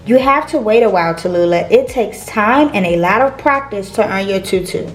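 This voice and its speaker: A woman's voice: medium pitch, animated, normal speed, natural voice. A woman voicing a patient, encouraging woman ballet teacher in a storybook. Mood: encouraging, gently firm.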